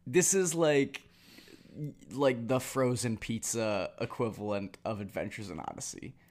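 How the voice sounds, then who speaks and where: A man's voice: medium pitch, measured pace, a little mellow. A young man co-hosting a casual podcast, rambling and joking with many "likes.". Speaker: podcast co-host.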